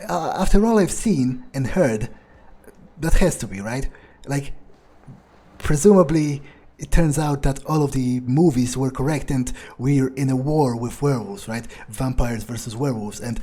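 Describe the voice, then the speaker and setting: A man's voice: medium pitch, dark tone, breathy edge. A man improvising in-character video game commentary, thinking aloud in a musing, hesitant way and swearing freely. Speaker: let's player role-playing in character.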